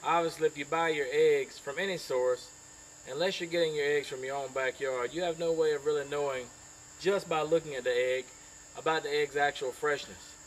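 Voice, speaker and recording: A man's voice: a little high, steady tone, moderate pace, a little hiss or hum, poor audio. A middle-aged man giving a casual, folksy how-to demonstration outdoors in his backyard, talking to a camera mic. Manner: folksy, chatty, with frequent uh fillers. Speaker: small farm owner demonstrating.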